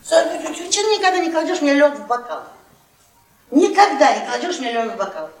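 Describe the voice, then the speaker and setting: An actress playing a brash, hard-drinking middle-aged wife who needles her husband in halting, repetitive phrases in a stage play. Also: high-pitched, sing-song. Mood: mocking. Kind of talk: Russian theatre radio play, naturalistic dialogue. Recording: echoey stage from far, audience behind.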